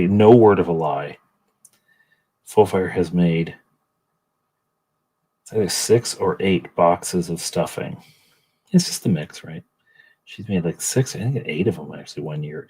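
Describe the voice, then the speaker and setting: A man with a deep voice, low in pitch and husky. He chats casually on a livestream and often drops into quiet mutters and whispered asides as he works. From Canada. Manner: soft, muttering to himself, drifting between quiet asides and chat. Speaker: livestream host painting miniatures. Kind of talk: casual chat while painting.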